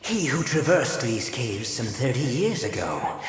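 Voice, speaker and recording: A woman's voice: very deep voice, sing-song, measured pace, full character voice. A woman playing a disdainful cave spirit in a video game, taunting in archaic, formal phrasing. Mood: scornful. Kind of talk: theatrical video game acting. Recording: cavernous echo, long reverb, faint noise.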